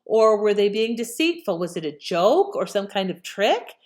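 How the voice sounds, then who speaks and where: Woman channel host chatting to viewers, normal pitch, casual n curious commentary. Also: very animated, moderate pace, a little mellow. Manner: conversational, inquisitive.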